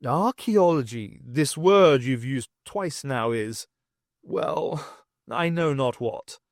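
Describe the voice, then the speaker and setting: A man's voice: medium pitch, animated, slow pace, no echo, clean studio sound. A man voicing an anxious, baffled male cat companion who speaks in a formal, old-fashioned way.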